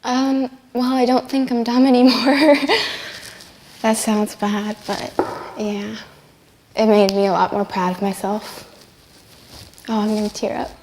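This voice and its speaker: A woman student speaking softly in a documentary interview, hesitant, with many fillers. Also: a little high, flat delivery, a little slow, long pauses, big-room sound. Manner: soft-spoken, filler-heavy, hesitant.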